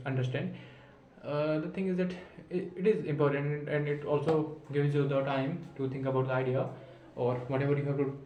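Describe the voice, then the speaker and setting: A young man of nineteen talks to his camera in a steady, flat tone with a mellow, darker timbre. He thinks aloud as he goes, restarts his sentences and encourages himself. His voice sounds echoey and roomy, with faint ambient noise behind it. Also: mid-range voice. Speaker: vlogger practising spoken English. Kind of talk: unscripted self-talk monologue to camera.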